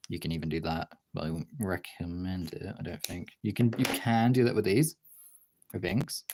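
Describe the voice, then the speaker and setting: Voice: deep voice, steady tone, normal speed, a little mellow, slight background noise. A streamer chatting casually while demonstrating drawing, talking in an informal, sweary way. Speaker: art streamer.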